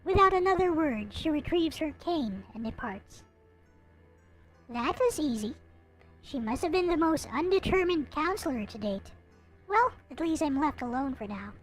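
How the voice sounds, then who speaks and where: A woman reading a visual novel aloud in an expressive, theatrical way, with some casual commentary. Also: high-pitched, moderate pace, rough recording. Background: background music.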